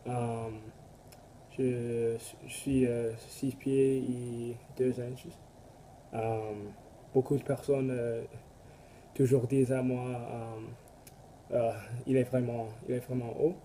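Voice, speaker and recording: Halting teenage boy from Canada, dark flat voice. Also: medium pitch, slight background noise. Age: teens. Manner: halting, filler-heavy. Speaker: student. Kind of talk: casual self-introduction.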